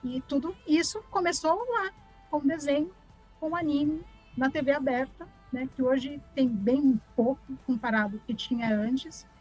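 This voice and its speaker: A woman's voice: high voice, a little slow, darkish tone, soft background music, poor audio. A woman with a Brazilian accent giving casual, chatty and encouraging answers as an interview guest. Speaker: interview guest, author and art teacher.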